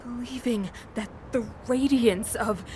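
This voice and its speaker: A woman's voice: on the high side, measured pace, darkish tone, slight background noise, close to an everyday voice. An actress playing a fanatical, imposing female ruler in a video game, speaking in grandiose, theatrical speeches. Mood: commanding, persuasive. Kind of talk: dramatic video game voice acting. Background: music.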